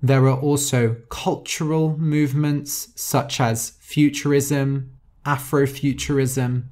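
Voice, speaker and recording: A podcast host reads an easy story for learners at a very unhurried pace, leaving room after each phrase. The voice sits at an ordinary middle pitch and stays clear and careful. New words get explained, and some are spelled out letter by letter. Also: little pitch movement, a little mellow. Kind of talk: slow, clear story reading for English learners.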